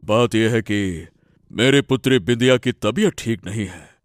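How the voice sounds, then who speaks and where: A man acting grave village elders in a cartoon story, solemn, deliberate and dramatic. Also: on the low side, no room sound, natural voice. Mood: worried.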